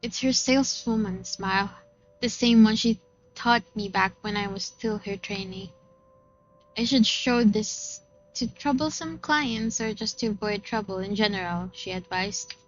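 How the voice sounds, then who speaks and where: A woman's voice: mid-range voice, unhurried, highs cut off, faint music behind. A woman streamer reading visual novel narration aloud, with casual reactions of her own.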